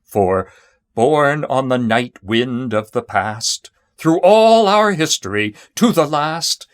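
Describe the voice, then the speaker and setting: Slow-paced man reciting poetry dramatically. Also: medium pitch, expressive. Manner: measured, theatrical, storytelling delivery. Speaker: poetry reader.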